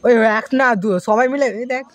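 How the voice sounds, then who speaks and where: A woman's voice: a little high, moderate pace. A young woman in casual banter among friends, talking in an insistent, bossy way. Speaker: prank caller.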